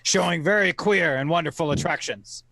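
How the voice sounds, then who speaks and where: A man giving theatrical scripted narration in an old-time radio manner, oratorical and wry, with deliberate phrasing. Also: on the high side, bright, forceful tone, slight background noise, low-quality recording.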